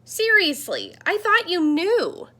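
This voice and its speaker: A woman voicing a friendly, earnest talking computer screen that gently scolds, in a children's picture book. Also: very high voice, wildly swooping pitch, slow pace, pitched above the performer's own voice, exaggerated voice. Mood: earnest, insistent. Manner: earnest, explanatory.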